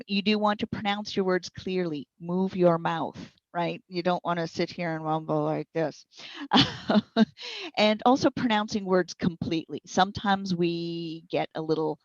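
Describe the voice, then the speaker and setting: A middle-aged woman with a lowish, creaky voice explains things in an encouraging, instructional way. The sound is dry and slightly muffled. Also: a little mellow, no room sound. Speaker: guest software trainer. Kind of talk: conversational instructional interview answers.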